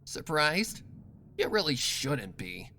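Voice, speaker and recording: A performer voicing a cold, sadistic villainess mare who taunts in a drawn-out, sinister way, for a storybook reading. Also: mid-range voice, very animated, pushed and bright, slight background noise, full character voice. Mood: mocking, gloating.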